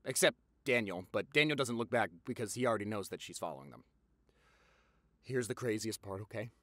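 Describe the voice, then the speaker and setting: A man playing a popular boyfriend eagerly telling gossip to his partner in a conversational audio roleplay, with dramatic pauses and repeats for emphasis. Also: fairly low pitch, expressive, fairly bright tone, dry recording. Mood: incredulous, dramatic.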